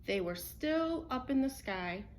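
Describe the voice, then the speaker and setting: A woman's voice: sits high, lively intonation, unhurried. Woman reading a storybook, noisy recording. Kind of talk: children's picture book read-aloud.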